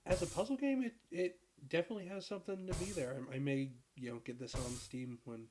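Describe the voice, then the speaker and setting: A man with a deep voice, hoarse from a cold, giving relaxed, rambling commentary while playing a puzzle game. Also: fast talker, noisy background. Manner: relaxed, rambling, clears throat and laughs at himself. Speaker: gaming video creator. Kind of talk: casual gameplay commentary.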